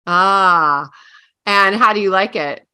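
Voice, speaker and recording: A woman's voice: mid-range voice, slow, pushed and bright, clean tone. A woman hosting a health podcast who introduces her guest with upbeat enthusiasm. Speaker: podcast host, health coach.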